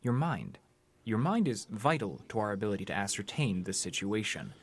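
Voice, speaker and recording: An actor playing a cold, clinical interrogator in an audio drama, speaking in a stilted, formal, probing way. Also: male voice, lowish voice, very animated, moderate pace, husky, exaggerated voice.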